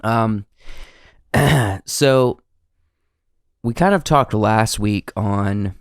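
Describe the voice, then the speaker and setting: Southern male podcast co-host, low voice, sniffly with a cold, easygoing and rambling, dry close mic. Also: extremely slow, clear, resonant tone, no echo. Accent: American Southern. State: stuffy from a cold. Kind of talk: casual chat.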